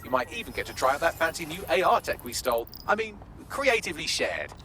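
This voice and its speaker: A British man playing a cheeky, sardonic, excitable AI hacker assistant in a video game, with a gleeful, sweary delivery. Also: on the high side, fast. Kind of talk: video game mission dialogue.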